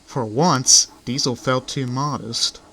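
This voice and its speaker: A man's voice: medium pitch, steady tone, takes it slowly, sharp tone. A man narrating a scripted children's story.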